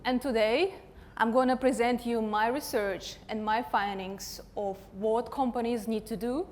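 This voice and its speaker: A woman in her thirties from Russia, speaking English with a Russian accent, gives a chatty, self-deprecating conference talk with light laughs. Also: fairly high pitch. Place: large conference hall. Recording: long hall reverb.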